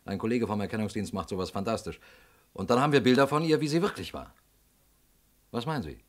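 A man's voice: fairly low pitch, lively intonation, fast talker. An actor playing an eager, deferential police detective, loyal assistant to his chief, explaining keenly in a German radio crime drama.

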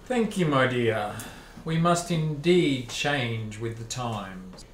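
A man's voice: mid-range voice, lively intonation, slow pace. A man playing a courteous, stately vampire lord in an amateur radio play. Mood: gracious. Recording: echoey room, faint noise.

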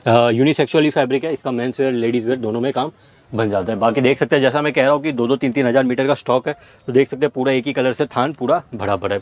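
A young man showing fabric stock on a warehouse floor, reeling off items in rapid salesman patter. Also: mid-range voice, a little mellow. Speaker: fabric seller. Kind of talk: product showcase walkthrough.

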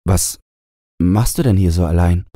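A man's voice: low voice, unhurried, dark tone, dry recording, clean studio sound. A man voicing a timid, sad, unlucky young man in a fairy tale, hesitant, plaintive and polite. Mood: shy, admiring.

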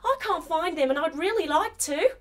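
Woman doing little lost kangaroo girl for a kids story, high n friendly, super polite. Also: expressive, normal speed. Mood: polite, fearless. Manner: chirpy, polite, simple greetings and questions. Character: tiny lost young female kangaroo, friendly, polite, fearless.

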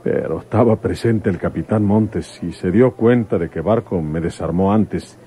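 A man acting a rural Mexican detective in a radio soap. His voice is low and soft-edged. He talks in a folksy, coaxing, flirtatious way with small hesitations. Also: normal speed, lightly acted. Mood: chatty, aggrieved.

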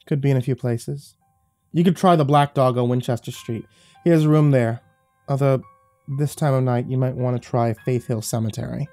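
A man voicing a coarse, greedy young woman of the streets in an audiobook, sharp-tongued and brash. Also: medium pitch, fairly even intonation, normal speed, no echo, soft background music, voice pushed up, lightly acted. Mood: knowing. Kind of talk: audiobook character voice.

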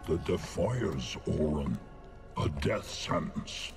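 A menacing male gatekeeper from a fantasy game. His gravelly voice swings widely in pitch and moves slowly, giving curt commands. Faint music plays behind him. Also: expressive, heavily acted. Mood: gruff, ominous. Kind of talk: dramatic game acting.

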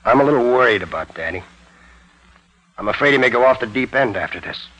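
An actor playing a bitter, brooding condemned killer in 1940s radio drama style. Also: male voice, medium pitch, expressive, fast, a bit bright, natural voice, low-quality recording. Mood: resigned, subdued.

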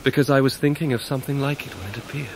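An actor playing a breezy, proud young gentleman with an airy, careless manner in a radio drama. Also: mid-range voice, very fast, lightly acted. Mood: uneasy. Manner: airy, dismissive, laughing. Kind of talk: 1990s radio drama acting. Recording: clear background ambience noise.

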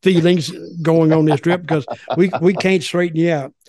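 A man's voice: a little high, steady tone, normal speed, warm tone, no room sound, clean studio sound. A man in his seventies hosting a podcast, telling stories in a casual chat from a desk microphone. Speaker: podcast host. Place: small home office, indoors. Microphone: desk microphone with headset.

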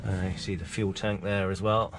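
A man with a fairly low voice gives a casual review tour in short, fragmentary phrases. The recording has clear noise of wind, water and boat behind him. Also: little pitch movement, normal speed. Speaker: presenter reviewing a sailing yacht. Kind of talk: casual walk-through review commentary.

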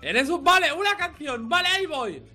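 A man voicing a mocking, excitable troll policeman in an improvised comic game roleplay, teasing and exclaiming. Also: extremely high pitch, normal speed, faint music behind, full character voice.

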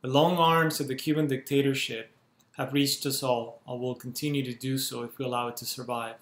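A man in his thirties from Cuba, speaking to a webcam at home and making an urgent, earnest appeal in measured phrases. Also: mid-range voice, flat delivery. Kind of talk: earnest direct-to-camera appeal. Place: small room at home, indoors. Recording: roomy echo from a bare room.

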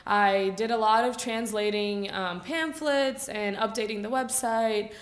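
A woman's voice: mid-range voice, steady tone, unhurried. A Brazilian woman in her twenties, a native Portuguese speaker, giving a casual symposium talk at a lectern microphone with lots of fillers. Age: 20s. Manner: casual, chatty, frequent fillers. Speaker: student speaker. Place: lecture hall at a lectern. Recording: echoey room.

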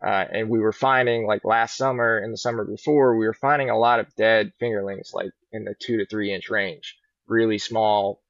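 A middle-aged man with a gravelly edge talks in an easygoing, rambling way about life as a fishing guide. His voice arrives dull and muffled through a video call. The sound is dry, with little room echo. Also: on the low side, steady tone, normal speed. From Virginia. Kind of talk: casual podcast interview. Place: large indoor boat showroom. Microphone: laptop webcam on a video call.

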